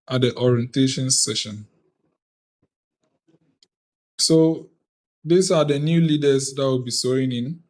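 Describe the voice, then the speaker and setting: A Ghanaian man speaks over a video call, addressing an online youth parliament in a formal ceremonial manner. He talks slowly and deliberately, with an unhurried, careful pace. His voice sits at an ordinary middle pitch. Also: a little mellow. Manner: deliberate, measured, reads out lists of names.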